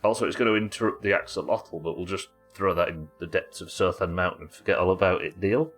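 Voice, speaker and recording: Low-voiced male streamer, sniffly with a cold, rambling live commentary, faint music behind. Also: fast, fairly bright tone. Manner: chatty, thinks aloud, talks to viewers and his in-game pets. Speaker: livestreaming gamer. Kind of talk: casual live gameplay commentary.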